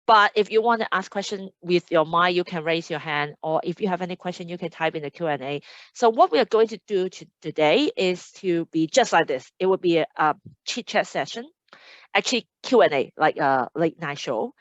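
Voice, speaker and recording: A woman hosts a casual webinar over a video call, chatty and upbeat as she reads audience comments aloud. Her voice sits fairly low and sounds dry and close. The audio is dull and lo-fi, like a typical online meeting. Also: animated, brisk, dry recording. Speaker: admissions host.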